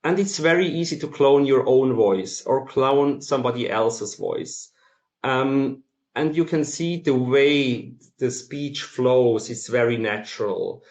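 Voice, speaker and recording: A man with a Swiss accent giving an explanatory presentation in a halting way, with frequent ums. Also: mid-range voice, a little mellow. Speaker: masterclass presenter. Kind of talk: explanatory conference presentation for non-technical listeners. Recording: roomy, echoing sound.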